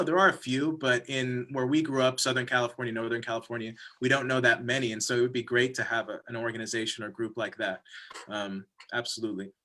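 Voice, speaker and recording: A man's voice: mid-range voice, pushed and bright. A man, a film director thinking aloud in a panel discussion.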